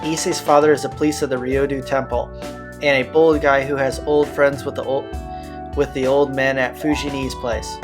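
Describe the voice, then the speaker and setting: Man reading game text over loud music. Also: mid-range voice, level tone, moderate pace. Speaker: video game let's player. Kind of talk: reading visual novel text aloud with asides.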